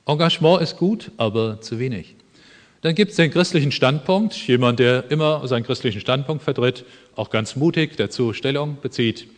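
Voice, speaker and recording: Emphatic man preaching in a reverberant church. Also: medium pitch. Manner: earnest, emphatic, with dry asides. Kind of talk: sermon. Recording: long hall reverb.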